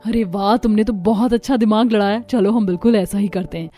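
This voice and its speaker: A woman's voice: mid-range voice, fairly even intonation, a little fast, dark tone, faint music behind, lightly acted. Woman as a plaintive, scheming young daughter-in-law.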